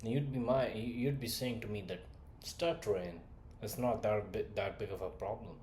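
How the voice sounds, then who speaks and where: Blunt young coach from India with English as a later language; low-pitched, lectures to camera in an echoey room. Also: male voice, normal speed, a little mellow, a little hiss or hum. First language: not English; English is his third language. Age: 20s. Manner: blunt, lecturing, rhetorical questions. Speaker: communication skills coach. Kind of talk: direct-to-camera motivational talk.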